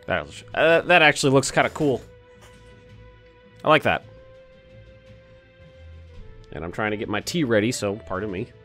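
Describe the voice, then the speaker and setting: A man with a slightly hoarse voice, casually commenting while he plays a city-building game, thinking aloud and cursing now and then. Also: medium pitch, lively intonation, normal speed, pauses often, pushed and bright, faint music behind. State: a little hoarse. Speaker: let's play host.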